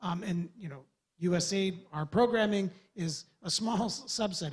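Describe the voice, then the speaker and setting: A man's voice: fairly high pitch, slightly rough. A man from the USA, a government development official, giving earnest, loosely structured remarks on a panel. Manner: earnest, rambling with restarts.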